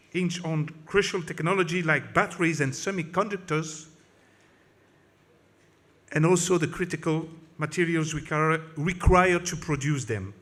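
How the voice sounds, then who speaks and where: A man's voice: on the high side, a bit bright. A man whose first language is French gives an impassioned political speech in English at a conference, with a French accent and frequent slips and restarts. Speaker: conference speaker.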